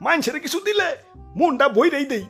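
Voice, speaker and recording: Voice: high voice, lively intonation, fairly quick, fairly bright tone, soft background music. A performer voicing a smug, greedy cartoon dam keeper who talks in an exaggerated, self-righteous comic way.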